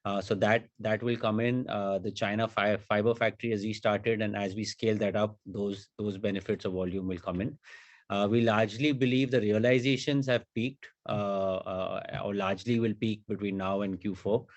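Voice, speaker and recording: A low-voiced man speaks as a company executive on an earnings call. He answers in a measured way, pausing and repeating words as he builds his points. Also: steady tone, highs cut off.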